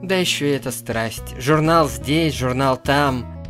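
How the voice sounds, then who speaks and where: A man's voice: medium pitch, measured pace, a bit bright, pitched below the performer's own voice, close to an everyday voice. Man playing a sullen, reluctant boy, music behind. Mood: sullen, grumbling. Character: reluctant, grumbling boy dragged along in visual novel.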